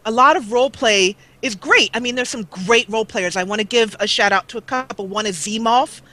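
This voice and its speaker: A young woman YouTuber chatting as an interview guest over a webcam call, talkative and quick to laugh at herself. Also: on the high side, pushed and bright. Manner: chatty, laughs often, self-mocking. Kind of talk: casual interview chat.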